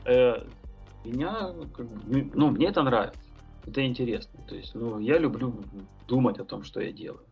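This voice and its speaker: Guy musing slowly on a podcast, lots of um and like, kinda dull audio, faint music under it. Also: medium pitch, gravelly, husky. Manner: reflective, rambling with many fillers. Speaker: tattoo artist and painter, podcast guest. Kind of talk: casual podcast conversation.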